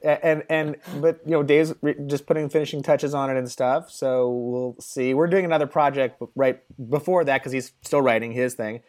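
A man who is a film producer, a guest on a podcast, rambling casually with quick asides and swearing. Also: mid-range voice, fairly even intonation, darkish tone.

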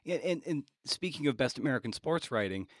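A man hosting a podcast interview, asking questions in a casual, halting, roundabout way. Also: medium pitch, lively intonation, no echo, clean studio sound.

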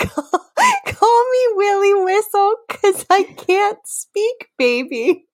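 A woman's voice: very high-pitched, wildly swooping pitch, drawn-out words. A woman co-hosting a comedy podcast, mixing playful dramatic readings with casual banter and often breaking into giggles. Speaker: podcast co-host.

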